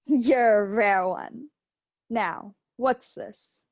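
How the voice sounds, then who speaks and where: A woman's voice: a little high, extremely slow, dry recording. A young woman playing a sly, greedy old pawnbroker in a radio play, nosy and relishing every item. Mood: sly, amused. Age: young adult. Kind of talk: theatrical radio play acting.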